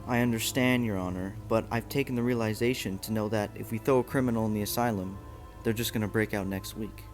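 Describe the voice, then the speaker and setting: A low male voice acted by a man for a superhero radio play, stern and theatrical as a masked avenger or scheming lawyer. Soft music runs underneath. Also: steady tone, fast talker, lightly acted. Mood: smug, orating. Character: grim masked vigilante and smug crime-boss lawyer. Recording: music behind the voice.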